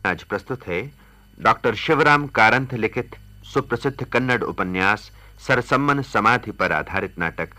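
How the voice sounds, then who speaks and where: A man's voice: mid-range voice, expressive, moderate pace, bright, forceful tone. A man giving a formal, scripted announcement as a radio announcer.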